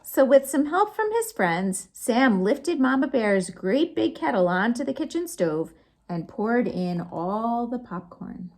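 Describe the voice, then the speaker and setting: A woman storytime host reading picture books aloud to children, expressive and sing-song, with chatty asides to her young listeners. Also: fairly high pitch, slow. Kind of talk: animated picture-book read-aloud for children.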